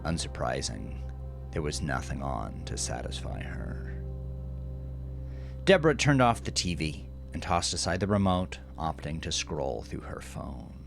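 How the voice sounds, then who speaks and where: A man narrating scripted horror fiction in a measured, literary, dramatic way, dropping at times into a hush. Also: very deep voice, very animated, vocal fry. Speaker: audiodrama narrator. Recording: music under the voice. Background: music.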